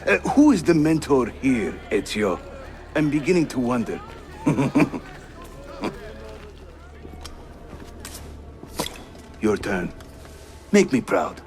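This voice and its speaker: A man's voice: mid-range voice, very animated, measured pace, a little mellow. A male actor playing a spirited, teasing Ottoman assassin leader in a video game, with a jovial, theatrical manner. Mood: wry, confident.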